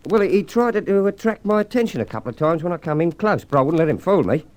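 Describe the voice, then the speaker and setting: An actor playing a plain-spoken, defensive jockey in an old radio crime drama, folksy and flustered. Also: male voice, fairly high pitch, expressive, quick pace, darkish tone, lightly acted. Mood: puzzled, earnest. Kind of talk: 1950s Australian radio crime drama acting.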